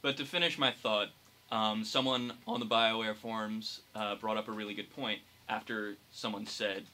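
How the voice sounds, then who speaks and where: A man's voice: fairly low pitch, little pitch movement, normal speed, bright, forceful tone. A young man co-hosting a casual podcast, thinking aloud as he explains his points. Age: young adult. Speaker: podcast co-host.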